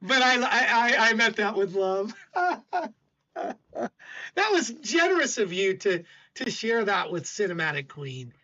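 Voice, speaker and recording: An older man with a loud voice hosting a livestream, chatting casually and stammering as he talks to chat. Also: high-pitched, big pitch swings, unhurried, dull audio. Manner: rambling, stammering, warm toward chat. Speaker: stream host.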